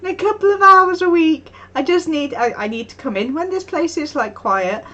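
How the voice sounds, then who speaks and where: A woman's voice: high-pitched, animated, normal speed, bright, forceful tone, dull audio. A woman streaming live, chatting casually and telling stories with frequent laughs and acted-out quotes. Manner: chatty storytelling, often laughing, acting out quotes. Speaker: art streamer.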